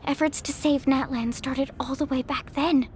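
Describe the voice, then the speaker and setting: Woman as high-voiced, earnest young game heroine. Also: steady tone, normal speed, slight background noise, lightly acted. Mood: earnest, overwhelmed. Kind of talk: animated fantasy game acting.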